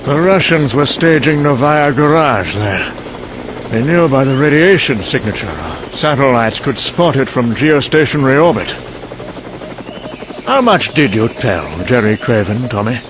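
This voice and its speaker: A man's voice: mid-range voice, noisy background, natural voice. A man playing a wry, cynical scientist in a dramatic motion comic monologue, musing and condescending. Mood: amused contempt. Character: wry, cynical male scientist recounting a doomed secret programme.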